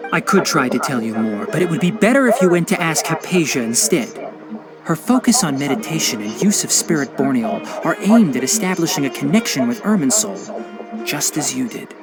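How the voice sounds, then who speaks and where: An earnest noble protector in a game, formal and polite, melodic delivery, music behind. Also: male voice, on the high side, sing-song, rough recording. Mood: solemn, explanatory. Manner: formal, measured, articulate. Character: earnest, courteous male guardian from an old family. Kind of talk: scripted game dialogue.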